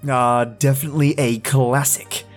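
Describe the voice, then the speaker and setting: A man's voice: medium pitch, flat delivery, moderate pace. Male podcast host talking over music. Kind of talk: casual podcast sign-off to listeners.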